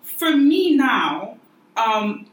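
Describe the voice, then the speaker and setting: A woman's voice: fairly high pitch, big pitch swings, extremely slow. A young woman from Cameroon hosts a casual discussion, thoughtful and full of questions. She often restarts her sentences. Her voice sounds roomy, set back from the mic, with a faint hiss behind it. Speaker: podcast host. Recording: echoey room, mic at a distance, faint noise.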